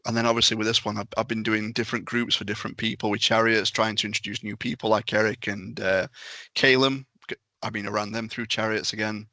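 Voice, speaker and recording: Male podcast panelist, lowish bright voice, casual chat, close dry sound. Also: flat delivery, fast talker, no room sound, rough recording. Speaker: podcast panelist and game master.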